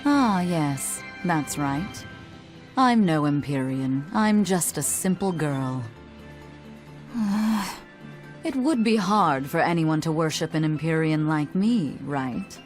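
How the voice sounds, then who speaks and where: Slow, low-voiced sly sorceress. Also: female voice, lively intonation. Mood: teasing. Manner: dry, languid, mock-sweet teasing. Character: sly, teasing, savvy sorceress and stern teacher. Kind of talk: video game voice acting. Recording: game audio with music behind.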